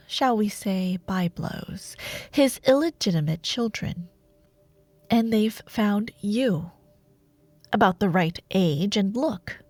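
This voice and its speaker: A woman playing a taunting, imperious dark warlord sorceress in a roleplay audio drama, speaking in a theatrical, sardonic way with lingering pauses. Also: medium pitch, dry recording. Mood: teasing, revealing.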